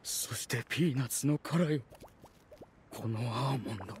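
アニメ調ゲームで、男性声優が演じる折り紙動物の年老いた長老。古風で丁寧な、おじいちゃんらしい優しい話し方。. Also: male voice, mid-range voice, moderate pace, darkish tone, a little hiss or hum, lightly acted. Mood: awed. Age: older. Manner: courtly, old-fashioned, grandfatherly. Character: kindly old male origami animal village elder. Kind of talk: anime video game voice acting.

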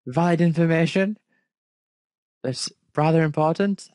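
A man's voice: fairly high pitch, a little slow, a little mellow, dry recording. A man reading a story aloud and commenting on it casually in an incredulous, nitpicking way. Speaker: fan fiction reader and commentator.

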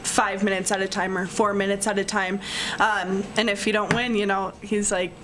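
A woman's voice: mid-range voice, little pitch movement, normal speed, background noise. A young woman, a college basketball player, answering press conference questions in a chatty, upbeat way with many fillers, at a desk mic in a press room. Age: young adult. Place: press room in front of a sponsor backdrop. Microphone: desk microphone.

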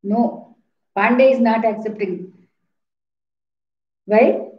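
A woman's voice: on the high side, fairly even intonation, slow pace. A woman in her fifties with an Indian accent, lecturing an online class in a didactic, repetitive way with questions to students. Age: 50s. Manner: didactic, repeats points, poses questions. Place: small plain room indoors. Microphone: webcam or laptop mic at a distance. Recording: roomy, echoing, dull laptop-mic sound.

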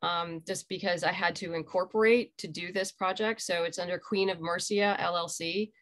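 A middle-aged woman with a slightly low voice talks as a guest in a casual interview. She explains in an informal, conversational way, speaking from home over a video call. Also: steady tone, normal speed, a bit bright. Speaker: interview guest, graphic novel creator. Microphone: video call computer microphone.

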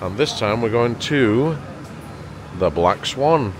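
A man's voice: mid-range voice, animated, takes it slowly, noisy background. A man in his forties walking between pubs, filming himself at arm's length and pointing things out in casual chat. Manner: upbeat, pointing things out as he walks. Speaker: travel vlogger. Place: pubs and town streets, indoors and outdoors. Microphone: handheld camera at arm's length.

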